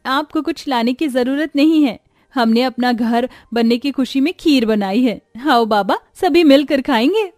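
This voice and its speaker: A woman voicing a poor young village sister, earnest and expressive, in an animated moral story. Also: high voice, unhurried, dry recording, studio quality, soft background music. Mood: happy.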